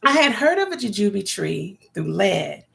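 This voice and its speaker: Raspy chatty woman, gravelly voice. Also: mid-range voice, sing-song, a little slow. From California. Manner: chatty, rambling, talks to viewers by name. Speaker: homesteading vlogger. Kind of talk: casual live-stream chat with viewers.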